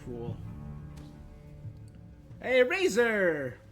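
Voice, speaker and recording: A man's voice: high voice, wildly swooping pitch, extremely slow, a bit bright. A man giving casual live commentary as a game streamer, thinking aloud and echoing what he hears. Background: faint game music.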